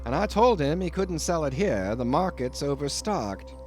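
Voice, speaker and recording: A man's voice: on the high side, very animated, normal speed, darkish tone, faint music behind, pitched above the performer's own voice. A man voicing a folksy, sly, amused innkeeper with a rustic, teasing manner in an audiobook. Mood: teasing, unbothered. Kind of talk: audiobook character voice.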